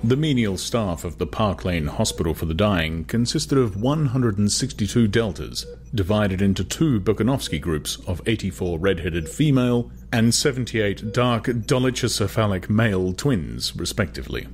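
A man's voice: fairly low pitch, lively intonation, normal speed, hardly any pauses, faint music behind. A man reading a novel aloud as narrator, in dramatized, scripted literary storytelling. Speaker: audiobook narrator.

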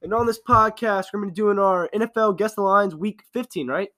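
Quick-talking young man with a cold. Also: high-pitched, bright, forceful tone. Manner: quick, loose talk with fillers. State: slightly raspy from a cold. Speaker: podcast co-host. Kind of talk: casual sports chat.

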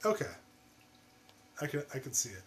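A man's voice: medium pitch, sing-song, normal speed, fairly bright tone. A man in his late twenties hosting a podcast chat in a laid-back, slangy way. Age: late 20s. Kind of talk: casual chat.

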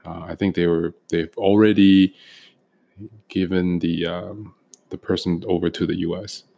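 A man's voice: deep voice, flat delivery, measured pace, creaky, warm tone. A young man co-hosting a casual podcast, talking with frequent ums and restarts. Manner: hesitant, many ums and restarts. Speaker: podcast co-host.

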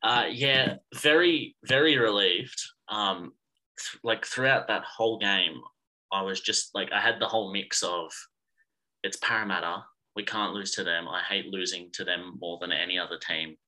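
A young man with a very bright, tense voice talks sport on a podcast. He is earnest, and his sentences tumble out one after another. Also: deep voice, moderate pace, poor audio. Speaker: podcast guest, sports fan. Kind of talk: casual chat.

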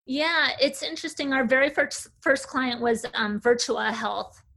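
A woman in her forties, an agency founder, telling her career story in a relaxed interview with frequent "uh"s. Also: high voice, a little slow, vocal fry. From United States. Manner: chatty, fluent storyteller with filler "uh"s. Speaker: agency CEO, guest. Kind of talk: casual interview talk.

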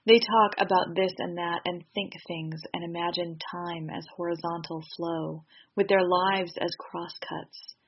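A woman's voice: fairly low pitch, fairly even intonation, a little slow, breathy edge. A woman reading poetry as a haughty, disdainful machine mind, imperious and scornful. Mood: lofty disdain. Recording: slightly dull recording.

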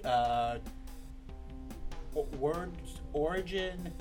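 A podcast guest answering questions with dry, deadpan humor. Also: mid-range voice, extremely slow, music in the background. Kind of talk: casual interview.

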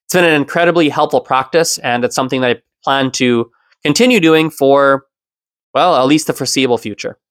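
A man in his thirties hosting his own solo podcast, talking in a reflective, explanatory way with the odd soft laugh. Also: medium pitch, fairly quick, dry recording. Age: 30s. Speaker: podcast host.

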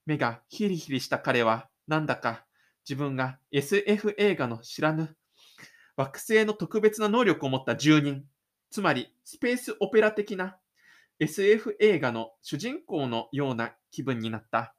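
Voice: medium pitch, animated, moderate pace. A narrator reading scripted third-person storytelling for an audio drama.

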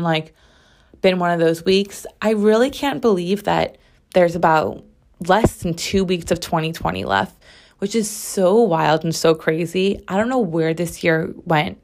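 A young woman in her twenties talks through her podcast in a loose, rambling way, punching her points hard and swearing without a second thought. Her voice sounds strained and raw, worn down to a hoarse scratch. She is recording from a bathroom at home. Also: on the low side, darkish tone. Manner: rambling, emphatic, swears freely. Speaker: podcast host. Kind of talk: casual solo podcast chat.

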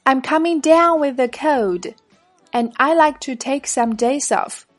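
A woman's voice: high voice, measured pace, no echo. A woman reading the part of speaker A in a practice dialogue, plain and careful. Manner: plain, careful read-aloud of lesson lines. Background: faint background music.

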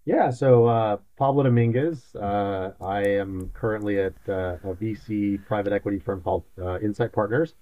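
A man from Mexico who grew up in West Texas, explaining things as a podcast guest in a relaxed, easygoing way with plenty of fillers. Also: fairly low pitch, normal speed, hardly any pauses, no room sound, muffled recording. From Mexico, raised in West Texas. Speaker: podcast guest, sales advisor. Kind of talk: casual interview answers.

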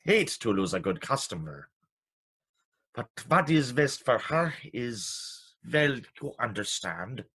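An actor playing a sweet, chatty, genial old clockmaker in a vintage-style radio play. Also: male voice, medium pitch, big pitch swings, a bit bright, breathy edge, full character voice. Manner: genial, chuckling, deliberate shopkeeper politeness. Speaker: actor in live radio theatre.

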